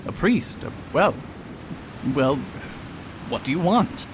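Brief: male actor as a testy scholar, animated delivery, noisy track. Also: a little high, slow. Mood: flustered. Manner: curt, impatient, hesitant when surprised. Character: brusque, self-important male scholar, annoyed. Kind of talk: amateur radio drama.

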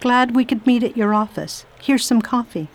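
A woman's voice: fairly high pitch, expressive, normal speed. A woman playing a determined volunteer nurse in a radio play, formal, forthright and insistent.

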